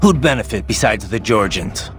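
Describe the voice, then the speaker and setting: An actor playing a blunt, determined cyborg mercenary in a video game, with a terse, dry, gruff delivery. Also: male voice, on the low side, animated, normal speed, fairly bright tone. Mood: serious, determined. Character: sardonic, hard-bitten male cyborg mercenary, blunt and determined. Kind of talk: video game radio dialogue acting.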